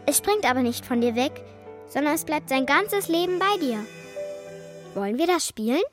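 A little girl in a children's radio play. Her voice is high, with a childlike, matter-of-fact way of doubting and imagining, and faint music sits behind it. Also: lively intonation, normal speed. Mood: playful.

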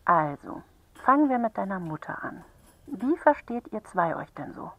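A woman acting in a children's radio play as a patient, caring helpline counsellor who questions gently and attentively. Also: medium pitch, very animated, moderate pace, no echo, low-quality recording.

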